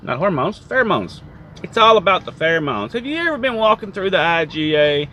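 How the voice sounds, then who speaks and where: A man's voice: high voice, very animated, a little fast, pushed and bright, a little hiss or hum. A man chatting casually as a trucking vlogger, rambling and joking as he tells stories from a truck cab. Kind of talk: casual chat.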